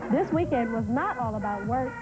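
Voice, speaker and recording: A woman's voice: on the high side, expressive, moderate pace. A woman reading scripted narration for a television feature. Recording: loud music under narration. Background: loud music.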